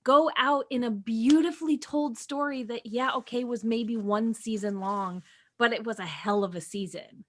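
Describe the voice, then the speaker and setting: A woman's voice: a little high, moderate pace. A woman co-hosting a casual group discussion, speaking in an informal, emphatic way. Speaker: podcast co-host.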